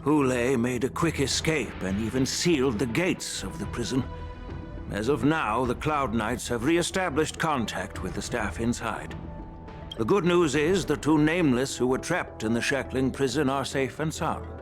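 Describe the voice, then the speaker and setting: A man playing an old grandfatherly general in a game, formal, steady and authoritative. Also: medium pitch, moderate pace, music in the background, close to an everyday voice. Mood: official calm. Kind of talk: dramatic game voice acting.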